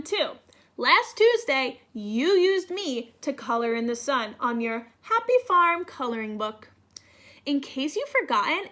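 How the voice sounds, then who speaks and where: Storybook crayon, male, proud and self-important, high and deliberate, read by a woman. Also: a bit bright, full character voice. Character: proud, boastful, squabbling male yellow crayon. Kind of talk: children's storybook read-aloud.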